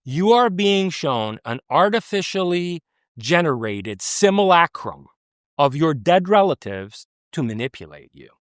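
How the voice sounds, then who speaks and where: Earnest american guy on an interview, kinda high pitched, dry close sound. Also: sing-song, a little slow, a bit bright, no room sound. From United States. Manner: earnest, emphatic, many restarts and fillers. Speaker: interview guest. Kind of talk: conversational interview.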